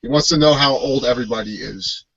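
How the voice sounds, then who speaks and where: A man's voice: mid-range voice, quick pace, vocal fry, fairly bright tone, muffled recording. A man in his thirties hosting a live video call, friendly and upbeat. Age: 30s. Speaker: host.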